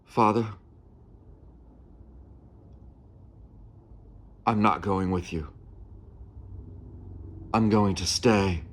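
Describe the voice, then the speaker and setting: A man playing an earnest young nineteenth-century touring actor, telling his life in a measured, theatrical dramatic monologue. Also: on the low side. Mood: resolute, moved. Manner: theatrical, measured, with weighty pauses. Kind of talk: dramatic first-person monologue.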